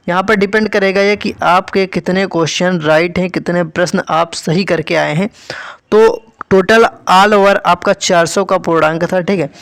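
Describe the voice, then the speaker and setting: High-pitched man explaining to students. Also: a bit bright, clean tone. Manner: repetitive, instructive, with frequent check-in tags. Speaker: exam-prep YouTube presenter. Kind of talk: informal explanatory update to students.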